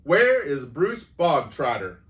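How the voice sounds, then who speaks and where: A man voicing a furious, bullying headmistress who barks and booms insults at a child. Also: big pitch swings, takes it slowly, highs cut off. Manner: booming, barking insults. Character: bullying hulking headmistress, woman, furious and cruel.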